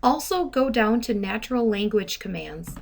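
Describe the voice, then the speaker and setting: A woman presenting step-by-step software training in a deliberate, instructional manner. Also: a little high, expressive, creaky. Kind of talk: step-by-step tutorial narration. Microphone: handheld dictation microphone.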